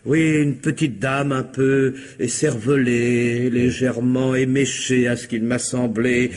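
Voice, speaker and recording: A man's voice: mid-range voice, level tone, darkish tone, close to an everyday voice. A man playing a talkative police commissioner in a radio comedy-mystery, falsely courteous and insinuating, hesitating and drawing out his words. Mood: musing, amused. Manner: hesitant, insinuating, mock-polite, drawn-out words. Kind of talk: theatrical French radio comedy-mystery acting.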